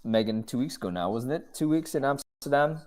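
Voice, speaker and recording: A man's voice: mid-range voice, expressive, a little fast, a little mellow. A man hosting a casual video-call chat, talking in a rambling, self-deprecating way. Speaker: host.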